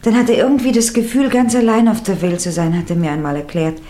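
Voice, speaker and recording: Actress as a mellow, composed young lady. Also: medium pitch, animated, fast, close to an everyday voice. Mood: earnest, composed. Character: composed young lady, devoted companion of a novelist. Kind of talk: 1960s radio play acting.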